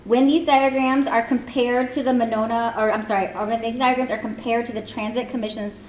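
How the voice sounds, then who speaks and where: A woman, giving a prepared public comment in a loud, earnest voice. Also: a little high, steady tone. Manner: fast, earnest, reads prepared remarks. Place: community meeting hall. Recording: echoey hall, faint room noise.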